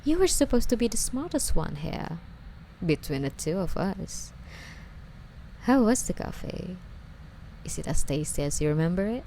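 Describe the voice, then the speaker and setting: A woman playing an obsessive, sweetly menacing female stalker in an ASMR roleplay, coy and breathy, often slipping toward a whisper as she coddles her captive. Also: lowish voice, very animated, moderate pace, long pauses, dark tone, a little hiss or hum, poor audio. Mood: mock-sweet menace.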